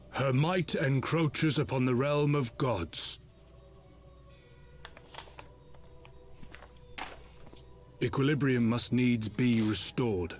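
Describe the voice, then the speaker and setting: An actor playing a grave, ominous dark overseer in a video game cutscene, speaking in formal, archaic, portentous phrasing. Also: male voice, mid-range voice, close to an everyday voice. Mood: ominous, resolute. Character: solemn, ominous, grave male dark overseer plotting balance.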